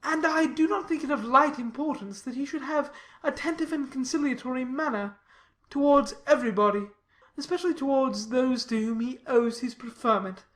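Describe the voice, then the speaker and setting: A woman's voice: sits high, normal speed, natural voice. A woman voicing a pompous, obsequious clergyman who speaks in formal, wordy sentences for a dramatized audiobook. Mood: self-important. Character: pompous, obsequious clergyman holding forth at length.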